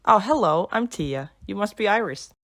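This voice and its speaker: A woman's voice: mid-range voice, animated, normal speed, a bit bright, no room sound, studio quality. A woman playing a chatty, playful fangirl in an audio drama, polite and teasing. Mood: friendly, curious. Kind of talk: amateur audio drama acting.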